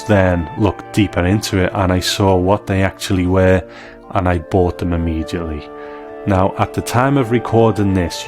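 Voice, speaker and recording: A man hosting a documentary podcast, reading scripted narration. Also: low voice. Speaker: podcast host and interviewer.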